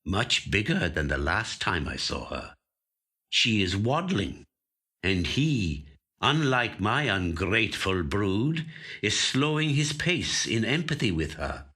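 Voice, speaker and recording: An actor voicing an aging, watchful, covetous magpie in measured, deliberate first-person literary storytelling. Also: male voice, on the low side, sharp tone, exaggerated voice. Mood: weary, resigned. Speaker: actor reading a short story. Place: recording studio.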